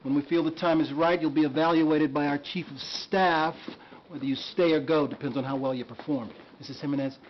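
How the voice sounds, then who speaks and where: An actor playing a brisk, world-weary senior emergency room doctor in a game drama. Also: male voice, a little high, fairly even intonation, lightly acted. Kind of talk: acted medical drama dialogue. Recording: muffled game video, faint noise.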